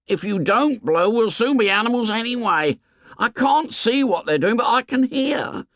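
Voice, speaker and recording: A man voicing a shrill, anxious, plump schoolboy with glasses in an audiobook, pleading and nagging in a squeaky voice. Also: expressive, moderate pace, fairly bright tone, dry recording, studio quality. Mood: fretful.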